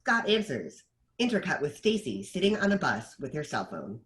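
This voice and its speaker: A woman reads screenplay stage directions as scripted narration, with a lively, varied pitch. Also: moderate pace.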